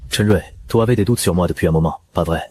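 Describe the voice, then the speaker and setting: A man voicing a disdainful, self-assured fighter in a fantasy cartoon, husky and haughty in a measured way. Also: low-pitched, darkish tone, slight background noise, lightly acted. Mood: coolly knowing.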